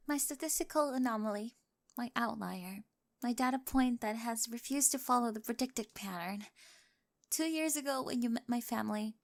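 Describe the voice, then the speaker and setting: A woman's voice: a little high, natural voice. A woman acting a quirky, analytical young bride in a scripted ASMR roleplay, earnest and loving, with precise scientific phrasing. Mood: tender, earnest.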